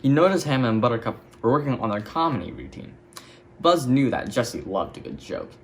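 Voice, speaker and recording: A man's voice: mid-range voice, sing-song, brisk. A man reading a children's story as a scripted narration. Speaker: storybook reader.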